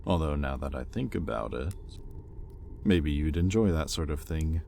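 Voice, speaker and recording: A man playing an exasperated, possessive demon master in an audio roleplay, sardonic yet grudgingly caring, speaking with pointed pauses. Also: very deep voice, gravelly, dark tone, slight background noise. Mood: weary, teasing. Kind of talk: intimate audio roleplay, dramatic monologue.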